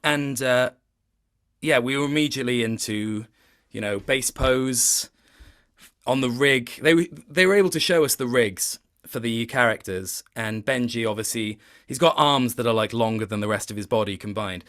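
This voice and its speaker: A man's voice: mid-range voice, moderate pace, a bit bright. A man speaking as a voice actor in a casual interview, thoughtful and self-deprecating, often feeling his way to the point.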